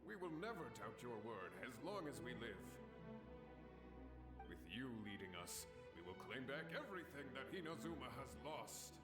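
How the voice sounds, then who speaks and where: A man's voice: medium pitch, sing-song, moderate pace, roomy sound, loud music behind the voice, lightly acted. A man playing a loyal, hopeful samurai warrior in a video game, speaking reverently in earnest, formal phrases.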